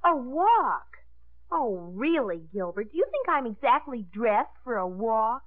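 An actress playing a haughty, dramatic teenage daughter with affected, prim airs in a 1950s radio drama. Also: female voice, on the high side, big pitch swings, a little slow. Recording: noisy, narrow 1950s radio broadcast.

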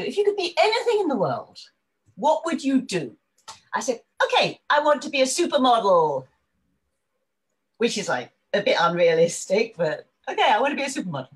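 An older woman with an English accent and a fairly low voice tells her story in a relaxed, chatty way. She laughs easily and comes alive when she quotes other people. She sounds like she is on a video call. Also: breathy edge, low-quality recording. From England. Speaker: guest. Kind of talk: casual interview storytelling.

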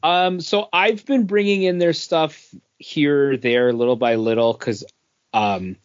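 A man's voice: fairly high pitch, unhurried, dry recording. A man speaking as a podcast co-host in casual, informal review-style chat. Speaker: podcast co-host, works at a beer store. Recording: dull, lo-fi podcast audio.

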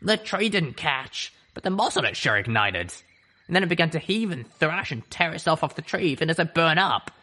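A man's voice: on the high side, very animated, normal speed, pushed and bright, pitched above the performer's own voice, full character voice. A man voicing a tough, wry female griffin who smokes and talks in folksy slang.

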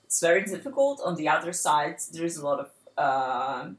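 A woman's voice: low voice, sing-song, moderate pace, roomy sound. A woman from Ukraine with a Ukrainian accent, a guest on a casual podcast, speaking thoughtfully and often searching for words. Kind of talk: casual interview chat. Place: small flat.

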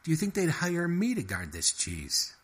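A man's voice: fairly high pitch, wildly swooping pitch, moderate pace, fairly bright tone, pitched above the performer's own voice. A man voicing a scheming male mouse who pesters with stammering, wheedling questions. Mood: pushy. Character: fast-talking scheming male mouse, pushy.

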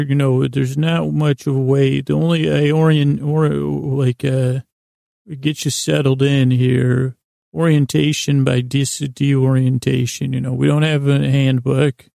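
A middle-aged American man hosting a bedtime podcast, rambling in a halting, digressive, self-deprecating way with a lulling, soothing tone. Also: medium pitch, gravelly, warm tone, no room sound, clean studio sound.

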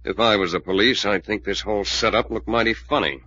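An actor playing a gruff, bossy, suspicious bedridden tough man in 1940s radio drama, American accent, terse and slangy. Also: lowish voice, a little fast, bright, forceful tone, dry recording. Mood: gruff, challenging. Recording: dull old radio recording.

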